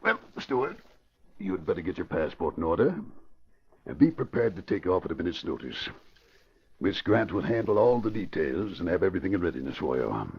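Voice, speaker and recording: Deep-voiced, scheming crooked boss. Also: male voice, sing-song, a little fast, slightly rough, full character voice. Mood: persuasive, secretive. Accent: American. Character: scheming middle-aged crooked investment boss, commanding and smooth. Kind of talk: 1940s radio crime drama acting. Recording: muffled old radio recording.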